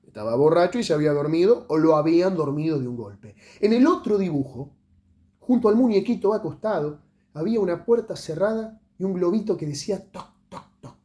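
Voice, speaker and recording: A man reads a literary short story aloud in a dramatic way, letting phrases trail off into pauses. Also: sits high, animated, warm tone. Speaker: audiobook reader.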